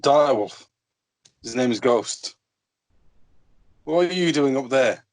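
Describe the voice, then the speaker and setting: A man's voice: medium pitch, animated, slow, dry recording, clean studio sound. A man reading the part of a proud, guarded young nobleman's bastard son in an amateur fantasy table read.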